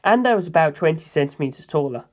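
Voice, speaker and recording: A man's voice: on the high side, expressive, quick pace, darkish tone. A man narrating a dramatic story in the first person as an audiobook reader.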